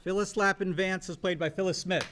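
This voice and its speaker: High-voiced man addressing a noisy crowded room. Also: fairly even intonation, a little fast, a loud crowd. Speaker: showrunner hosting a cast table read. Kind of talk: welcome speech and roll call read aloud to a gathering. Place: large room full of people at a live event.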